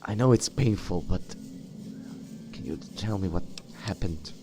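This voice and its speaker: A man roleplaying his video game character aloud, speaking in a halting, polite and dramatic way. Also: low voice, animated. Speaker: gamer voicing his player character. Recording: game music behind the voice.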